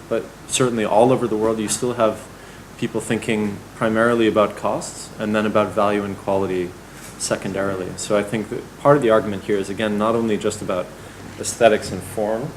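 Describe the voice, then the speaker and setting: A man's voice: lowish voice, a little hiss or hum, rough recording. A male architect answering audience questions at a public lecture, thoughtful and hedging with fillers. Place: lecture hall.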